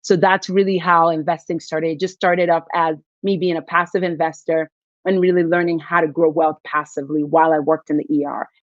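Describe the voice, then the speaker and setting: A woman from Nigeria talks in an earnest, reflective way as a guest on a podcast. Her voice is on the low side, recorded dry and a little dull, as if over a modest mic. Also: flat delivery, brisk, dry recording. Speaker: physician and investor guest. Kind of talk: podcast interview.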